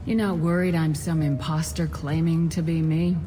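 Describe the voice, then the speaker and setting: A woman's voice: low-pitched, little pitch movement, moderate pace, warm tone, close to an everyday voice. A woman voicing a resolute, no-nonsense military starship commander in a video game, terse and controlled. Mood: composed, direct. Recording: reverberant hall with music behind. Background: music.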